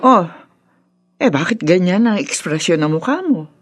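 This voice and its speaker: A Filipino woman acting as a sharp, fretful grandmother in a radio drama, brisk and full of questions. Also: fairly low pitch, sing-song, husky, no room sound. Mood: suspicious, disapproving. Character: sharp, fretful grandmother, suspicious mother figure.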